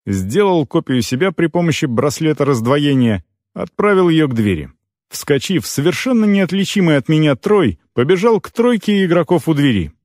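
A man narrating scripted first-person fiction as an audiobook reader, expressive, with deliberate phrase breaks. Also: on the high side, no room sound, clean studio sound.

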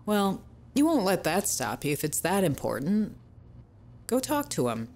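A woman's voice: on the low side, huge pitch swings, measured pace, a little mellow, faint music behind, heavily acted. A woman acting in an audio drama as a concerned, encouraging young band member.

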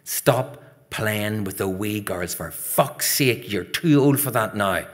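A man's voice: fairly low pitch, animated, slow pace. Man playing a harsh Northern Irish big brother. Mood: sneering. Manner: harsh, taunting. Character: bullying, sneering older brother, a Northern Irish lad.

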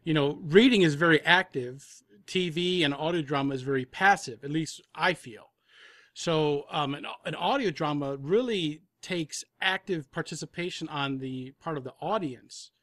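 Higher-voiced man, explaining in casual discussion. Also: normal speed, fairly bright tone, no echo. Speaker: audio drama producer, panel guest.

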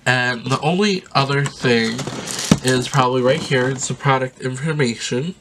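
A sniffly young man with a medium-pitched voice talks enthusiastically through an unboxing, rambling and dropping plenty of ums. He speaks close to the camera in a small bedroom and sounds a little stuffed up from a sinus infection. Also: normal speed, background noise. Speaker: vlogger. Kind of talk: casual unboxing vlog.

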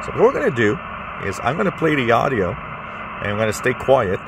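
A man's voice: medium pitch, big pitch swings, a little fast, noisy background. A man hosting a casual, unscripted hands-on radio demonstration, explaining things step by step in an informal way. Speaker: amateur radio channel host.

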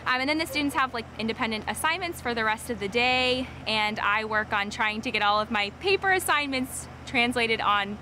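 A woman's voice: a little high, animated, a little fast, hardly any pauses, pushed and bright, slight background noise. A woman in her thirties, a fifth grade teacher, talking in an upbeat, chatty way in an interview outdoors by a parking lot. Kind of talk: interview for local TV feature.

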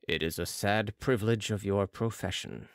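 A reader voicing a solemn, mysterious Italian master who speaks gravely and quietly in an audiobook. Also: sits low, moderate pace, no echo, clean studio sound, voice pushed down. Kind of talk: audiobook character reading.

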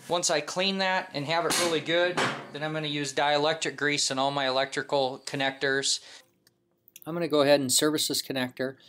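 A man's voice: medium pitch, a bit bright. An American man in his forties speaking as a mechanic giving an informal hands-on tutorial, conversational and instructive, often checking in with questions. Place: home garage workshop.

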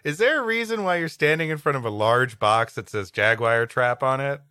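Bright, lively male podcast co-host. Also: mid-range voice, normal speed, dry recording, clean studio sound. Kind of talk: reading an old story aloud, with casual joking banter.

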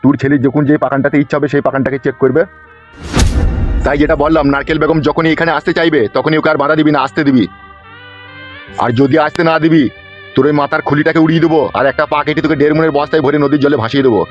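A man's voice: on the high side, fairly even intonation, fast talker, close to an everyday voice. A man voicing a brutal, bullying politician boss in an amateur comedy cartoon, domineering and foul-mouthed. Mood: threatening. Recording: dull lo-fi audio over music.

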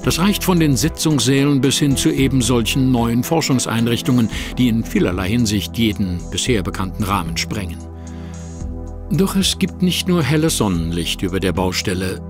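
A man narrating an audio drama from a script, as a storyteller setting the scene. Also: mid-range voice. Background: music.

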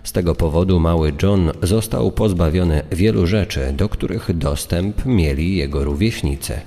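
A man with a very deep, dark voice reads formal documentary narration from a script. A long reverb trails his words. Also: normal speed. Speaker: voice-over reader of quotations.

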